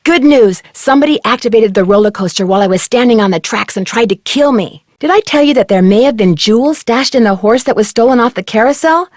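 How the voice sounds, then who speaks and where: Casting: young female amateur sleuth, game dialogue. Curious and eager, conversational, higher-pitched, recorded very dry. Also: lively intonation, fast, hardly any pauses, darkish tone, no echo. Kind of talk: scripted adventure game voice acting.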